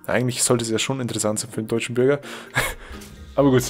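A young man from Germany, a gaming YouTuber chatting casually over his gameplay, rambling and correcting himself, reacting loudly to the game. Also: medium pitch, steady tone, quick pace, soft background music.

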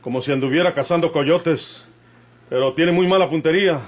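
An actor playing a bold, hot-tempered young outlaw with a rural Mexican accent and an emphatic rustic manner, in an old radio drama. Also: male voice, fairly high pitch, moderate pace, no echo, natural voice. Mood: angry, threatening. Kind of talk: golden-age Mexican radio drama acting.

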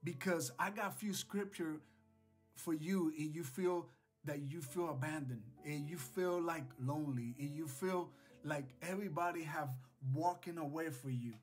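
Slow-paced man preaching over guitar music. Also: on the high side, slightly rough. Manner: earnest, exhorting, repeats phrases for emphasis. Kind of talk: devotional sermon to camera, scripture reading. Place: small indoor home studio.

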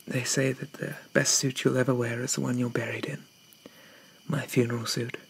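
A man playing a shy, gentle, polite Victorian zombie in a soft-spoken ASMR roleplay, stammering and hesitant with old-fashioned courtesy. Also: mid-range voice, level tone, normal speed, gravelly, slight background noise, close to an everyday voice, rough recording. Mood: shy, wistful.

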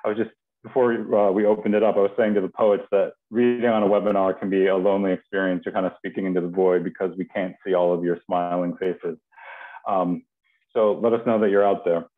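Young male host, low voice, halting informal introductions, tinny phone-like video call sound. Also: steady tone. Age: young adult. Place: home kitchen, on video call.

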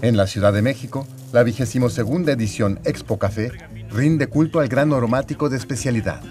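A man's voice: mid-range voice, a little fast, darkish tone. A man reading a scripted news report as a reporter. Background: guitar music bed.

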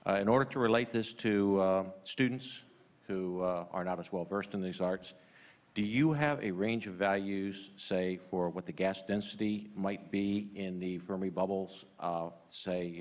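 Older deep-voiced reporter, loud and deliberate. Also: male voice. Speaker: freelance reporter. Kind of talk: asking a question at a press conference.

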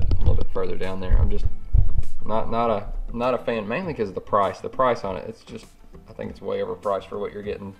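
A man's voice: on the low side, vocal fry. An American man hosting a fishing channel, talking informally to viewers in a casual unboxing review. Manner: informal, addresses viewers as y'all. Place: indoors at a cluttered worktable.

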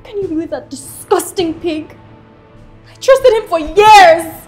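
A woman's voice: high voice, big pitch swings, a little slow, roomy sound, soft background music. An actress with a Nigerian accent playing a betrayed, emotional wealthy woman boss in a screen drama. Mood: disgusted. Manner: forceful, emotional, accusing.

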